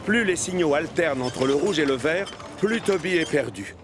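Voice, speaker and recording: A man's voice: fairly high pitch, lively intonation, normal speed, lightly acted. A man narrating a children's train cartoon as its storyteller, in a scripted style. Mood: storytelling. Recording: loud music over the voice.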